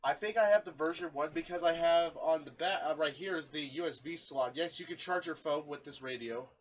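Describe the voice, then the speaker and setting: Male host, fairly high voice, informal chatty hobby presenter, faintly noisy recording. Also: fairly quick. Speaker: video host showing a radio collection. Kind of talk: casual hobby showcase with friends.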